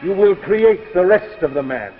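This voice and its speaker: An actor playing a relentless, commanding robot that wants to become human, speaking in blunt, stilted orders in 1950s radio drama style. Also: male voice, high-pitched, expressive, normal speed. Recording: muffled old radio recording with music behind.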